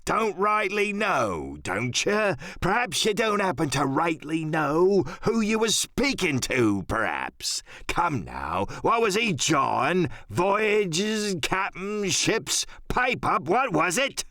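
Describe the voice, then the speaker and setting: A man's voice: high voice, sing-song, takes it slowly, few pauses, pushed and bright, no echo, studio quality, voice pushed up, exaggerated voice. A man playing a big, commanding one-legged pirate landlord in an audiobook, cunning and cheerful, with drawling, blustering sailor slang. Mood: stern, mocking.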